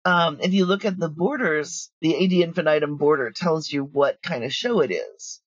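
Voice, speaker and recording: A woman's voice: sits low, moderate pace, slightly rough, no room sound. Blunt woman, dull lo-fi recording. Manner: blunt, self-assured, talkative. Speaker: guest, audio drama writer and producer. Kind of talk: candid interview conversation.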